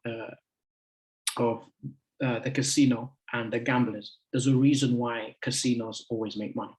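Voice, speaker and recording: Guest speaker, 20s male, easygoing, halting delivery, middle pitch. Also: a little mellow, low-quality recording. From United Kingdom. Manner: friendly, chatty, halting with many fillers. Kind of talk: casual talk to an online group.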